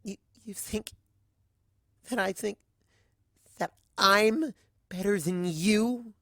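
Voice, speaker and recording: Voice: mid-range voice, takes it slowly, gravelly, bright, forceful tone, no room sound, studio quality, pitched above the performer's own voice, exaggerated voice. A reader voicing a timid, earnest young female pony who speaks in a hesitant, pleading way, in a dramatic fan fiction reading. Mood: hurt, earnest.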